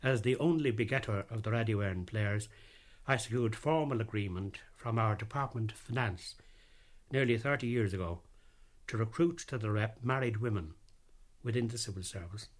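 A middle-aged or older man giving a scripted personal radio talk, emphatic and precise, repeating phrases deliberately for effect. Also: lowish voice. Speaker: radio drama founder giving his recollections.

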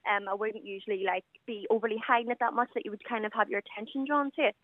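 A woman's voice: medium pitch, very fast, no echo. A confident 21-year-old woman from Northern Ireland with a Northern Irish accent, chatting with many fillers as a guest on a radio call-in. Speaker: guest caller. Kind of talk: casual radio interview over the phone. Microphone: telephone. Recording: tinny phone line.

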